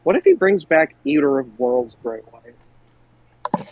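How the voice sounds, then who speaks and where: A man's voice: fairly high pitch, big pitch swings, takes it slowly, muffled recording. A man co-hosting a podcast in casual group chat, often posing questions to the others. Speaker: wrestling podcast co-host.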